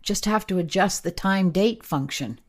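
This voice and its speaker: A woman playing an excitable, practical camera operator in a radio play, talking in a casual, emphatic way. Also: lowish voice, moderate pace, lightly acted. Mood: excited. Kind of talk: radio play, naturalistic dialogue.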